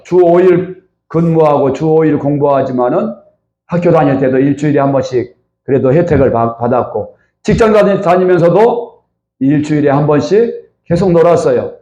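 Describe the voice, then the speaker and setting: A man's voice: on the high side, warm tone, lo-fi sound. A male pastor preaching a Sunday sermon in a church, putting questions to the congregation and calling for amens. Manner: preaching with rhetorical questions to the congregation and calls of amen. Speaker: senior pastor. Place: church sanctuary. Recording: roomy church echo.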